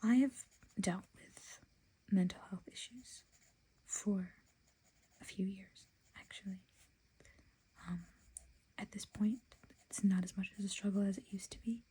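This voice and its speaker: Softly whispering woman designer. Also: mid-range voice, fairly even intonation, a little slow, long pauses, slightly rough, low-quality recording. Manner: soft whisper, chatty and self-talking. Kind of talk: whispered casual chat while sketching designs.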